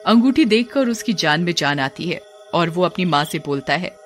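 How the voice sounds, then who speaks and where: A woman's voice: fairly low pitch, animated, normal speed. Woman narrating a story over music. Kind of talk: scripted children's story narration.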